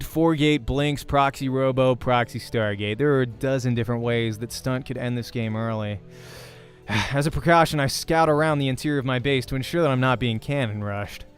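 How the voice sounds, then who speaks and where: A man reading a visual novel aloud as dramatic narration, sometimes stumbling over words and correcting himself. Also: mid-range voice. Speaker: let's play host and voice actor reading a visual novel.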